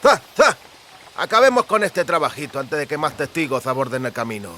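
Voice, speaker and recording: A man's voice: a little high, very animated, brisk, bright, forceful tone, slight background noise. A man voicing a greedy, mocking brigand with a lisping, lip-smacking delivery in an audiobook. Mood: urging. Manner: mocking, menacing. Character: greedy lisping male brigand, lecherous and smirking.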